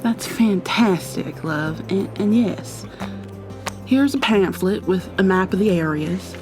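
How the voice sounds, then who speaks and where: A woman's voice: fairly low pitch, lightly acted. A woman playing a bubbly, welcoming ticket-desk attendant. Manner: bubbly, welcoming customer-service delivery. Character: friendly, chirpy woman working an aquarium ticket desk. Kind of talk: ASMR roleplay.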